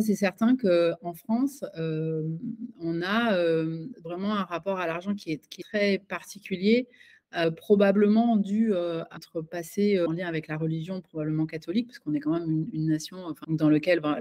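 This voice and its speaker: A woman's voice: on the low side, steady tone, moderate pace, dark tone. A woman giving an interview as a coach and author, talking in a hesitant way with many fillers and restarts. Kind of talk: casual conversational interview.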